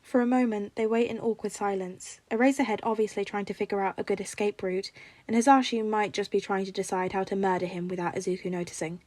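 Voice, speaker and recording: A woman's voice: mid-range voice, steady tone, fast, a little mellow, dry recording. A woman reading a scripted story aloud as a fiction narrator. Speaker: podfic narrator.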